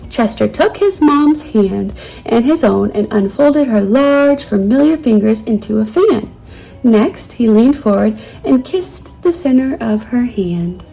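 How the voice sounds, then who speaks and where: A woman reads a children's story gently, as a teacher would to her young pupils. Her voice is fairly high and mellow, with husky creak, over soft music. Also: expressive, unhurried, rough recording. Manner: gentle, child-directed, soothing. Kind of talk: children's storybook read-aloud.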